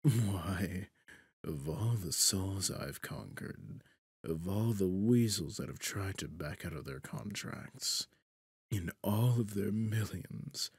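A low, husky, taunting demon. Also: male voice, animated, a little mellow, no room sound, studio quality, heavily acted. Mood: astonished, amused. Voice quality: husky, breathy, gravelly, often near a whisper. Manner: theatrical, taunting, slow and deliberate. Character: possessive male demon, smug, sly, menacing deal-maker. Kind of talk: ASMR roleplay audio drama.

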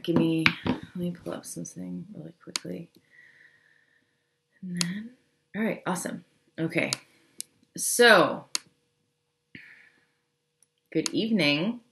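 A young woman speaking low and hoarse, her voice scratchy from a cold. She chats and rambles on a webcam livestream from a home office. The room is echoey. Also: a bit bright. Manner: chatty, rambling, quick asides. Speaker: business coach. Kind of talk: casual livestream talk.

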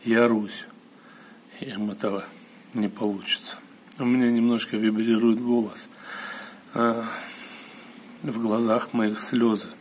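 A man giving a personal monologue, recounting an experience solemnly in short, halting phrases. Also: fairly low pitch. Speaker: storyteller recounting a mystical experience. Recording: clear steady background noise.

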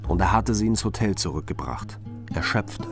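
A low male voice from an actor gives us a dogged, troubled detective in a crime radio play. He speaks over faint music. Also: animated, normal speed, lightly acted. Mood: grave, matter-of-fact. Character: dogged, troubled male police investigator named Tobias. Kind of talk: German crime radio play, dialogue and narration.

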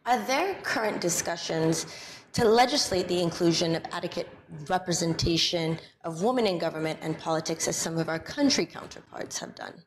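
A woman co-hosting a morning TV show, asking a formal, deliberate question in a studio. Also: lowish voice, lively intonation, slight background noise. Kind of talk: TV interview questions. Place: indoor TV studio.

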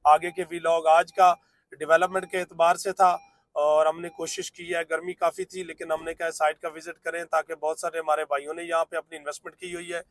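A man's voice: on the high side, little pitch movement, fairly quick, a bit bright, dry recording. A man giving a fluent, salesy narrated site tour from inside a moving car. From Pakistan. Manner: fluent salesman patter with filler phrases. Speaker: real estate vlogger.

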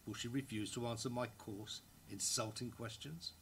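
An ardent, guilt-ridden man played by an older actor reads his love letter aloud with literary drama. His voice is medium in pitch. Faint noise sits behind him in a live room. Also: steady tone, normal speed, a bit bright, close to an everyday voice. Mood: urgent, pleading. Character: ardent, guilt-ridden male writer addressing his lover. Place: indoor library room, seated before an audience.

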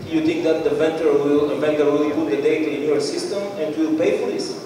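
A man's voice: on the high side, steady tone, a little fast, crowd noise. Echoey distant man questioning presenters. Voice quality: non-native English phrasing. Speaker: jury member asking questions. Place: indoor event room. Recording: echoey hall from far off, noisy.

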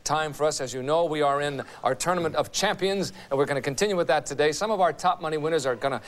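A middle-aged man hosting a game show in a TV studio, chatty and quick with banter. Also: a little high. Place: 1980s TV studio with live audience. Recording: noisy old TV broadcast, studio audience.

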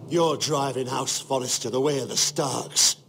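An actor playing a bitter, defiant castle knight in a fantasy video game, scornful and forceful. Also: male voice, mid-range voice, steady tone, moderate pace, creaky, faint music behind. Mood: bitter anger. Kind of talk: dramatic video game acting.

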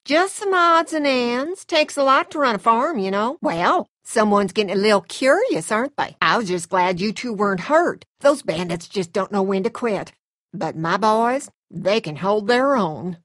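A woman acts out a middle-aged Southern farm matriarch for a video game. Her voice swings expressively over a medium pitch, with a homespun drawl. She is coaxing and sweetly polite, with something sinister under the motherly manner. The recording is close and dry. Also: lively intonation, normal speed, a bit bright, no echo, lightly acted. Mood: friendly, chatty. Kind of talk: acted video game dialogue.

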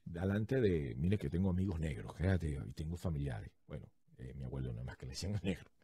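Husky Venezuelan man telling a story. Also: very deep voice, brisk. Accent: Venezuelan Spanish. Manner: lively, jokey, acts out the people in his story with big gestures. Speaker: podcast host. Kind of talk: animated storytelling monologue. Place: small home radio studio, indoors. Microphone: large studio condenser mic, headphones.

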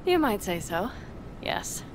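Young nomad driver, fiery, sarcastic, defiant, swooping pitch, vehicle noise behind, video game. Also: female voice, sing-song, takes it slowly. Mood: guarded, sarcastic. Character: hot-tempered, foul-mouthed young nomad driver woman. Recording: clearly noisy with vehicle rumble.

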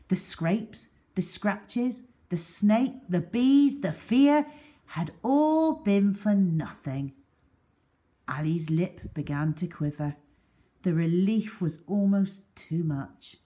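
Female storyteller for children's books: lowish pitch, unhurried, highly expressive.

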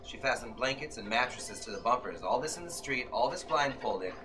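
A middle-aged man giving a tense, deliberate dramatic reading of fiction, like an old-time radio play. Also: mid-range voice, lively intonation, background noise. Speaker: author reading from his own novel. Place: small bookshop with seated audience, indoors. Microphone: audience member's camera from a few metres. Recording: music behind, live room camera recording. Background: violin accompaniment.

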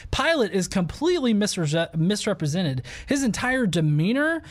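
Guy with a pretty high voice arguing casually on a video call, keeps repeating words. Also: big pitch swings. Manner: halting, repeats words as he argues. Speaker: host of an atheist debate show. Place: small furnished room at home, video call.

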